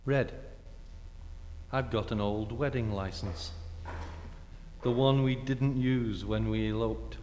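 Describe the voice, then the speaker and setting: A man acting a suave, tender ex-husband with gentle, wry understatement in a 1940s radio play. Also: mid-range voice, steady tone, slow pace, long pauses, close to an everyday voice. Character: suave, tender ex-husband wooing his former wife. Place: theatre stage. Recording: echoey hall with faint noise.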